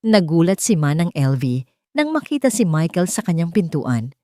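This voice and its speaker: A woman's voice: lowish voice, sing-song, moderate pace, mellow, no room sound, clean studio sound. A woman with a Filipino accent reading scripted, dramatic narration as a storyteller.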